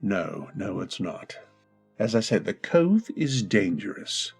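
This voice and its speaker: A man playing a brooding, volatile gentleman husband. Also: lowish voice, big pitch swings, slow, creaky, husky, soft background music, exaggerated voice. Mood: uneasy. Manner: abrupt angry outbursts softening into tender apology. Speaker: voice actor. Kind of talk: 1940s radio acting.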